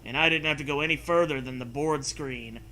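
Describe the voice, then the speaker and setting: A sarcastic man with a fairly high, very bright, forceful voice reads a horror story aloud. He switches between mock-serious narration and casual, foul-mouthed riffing. There is some background noise on the recording. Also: a little fast, rough recording. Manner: sarcastic, profane.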